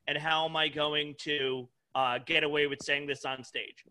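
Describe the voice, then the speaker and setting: Bright-voiced, foul-mouthed comedian in his thirties. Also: fairly high pitch, level tone, moderate pace, slight background noise. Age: 30s. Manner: profane, informal, frequent "dude" and "like". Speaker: stand-up comedian, podcast guest. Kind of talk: casual podcast chat.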